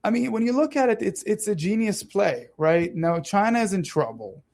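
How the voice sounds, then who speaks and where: A man in his thirties with a soft Israeli-Italian accent, a native Hebrew speaker, talking casually and animatedly as a radio co-host. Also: high-pitched, creaky. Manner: animated, talks fast with many restarts and laughs. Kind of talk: casual political chat.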